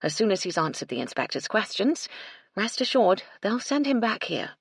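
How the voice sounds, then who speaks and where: A woman's voice: mid-range voice, big pitch swings, normal speed, vocal fry, husky, dry recording, clean studio sound, pitched above the performer's own voice, full character voice. A woman voicing a composed, kindly but firm English lady in charge in a story. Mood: firm, reassuring.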